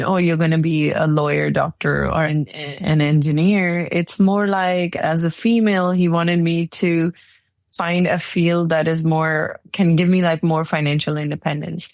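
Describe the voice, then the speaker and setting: A woman in her forties from Pakistan, telling her life story in a relaxed interview. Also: fairly low pitch, moderate pace, no echo. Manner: relaxed storytelling with light laughs. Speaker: guest, engineering executive. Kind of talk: casual interview answers.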